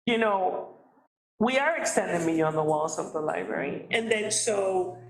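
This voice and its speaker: A woman on a library committee who tells anecdotes in an animated way and acts out her own earlier reactions. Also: medium pitch, unhurried, creaky, reverberant, poor audio. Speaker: committee member. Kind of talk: committee meeting discussion.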